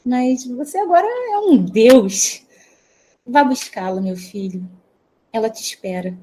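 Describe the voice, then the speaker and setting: Woman as a doting, high-voiced goddess mother. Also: animated, slow pace, warm tone. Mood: tender, amused. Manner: tender, indulgent. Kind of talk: radio drama acting.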